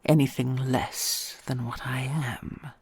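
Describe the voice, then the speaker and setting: A woman playing a possessive, doting dragon queen in an audio roleplay, speaking in a breathy, creaky near-whisper with regal, formal tenderness. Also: extremely low pitch, steady tone, dry recording, heavily acted. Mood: indulgent, regal. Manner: slow, regal, formal endearments with soft sighs.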